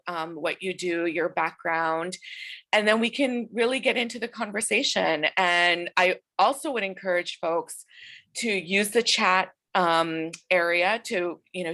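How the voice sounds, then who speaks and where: A woman's voice: on the low side, a bit bright. A woman moderating an online panel over a video call, talking with many fillers and restarts. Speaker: webinar moderator.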